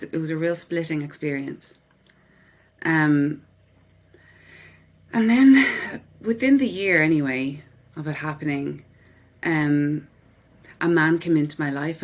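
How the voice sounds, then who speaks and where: Low-voiced Irish woman telling her story. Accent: Irish, Dublin. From Dublin, Ireland. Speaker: podcast guest, writer and psychotherapist. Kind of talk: personal account in an interview.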